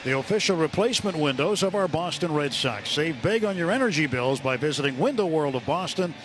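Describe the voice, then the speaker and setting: A male American baseball announcer with a slightly high voice calls the game live on radio. He takes his time between phrases, with faint ballpark noise behind him. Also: lively intonation, fairly quick. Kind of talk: live sports commentary. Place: ballpark broadcast booth. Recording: live stadium broadcast, ambient noise.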